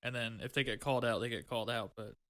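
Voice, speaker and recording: A man with a bright, forward voice at a middling pitch. He chats casually, like a co-host responding to someone else. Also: steady tone, fast talker. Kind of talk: casual chat.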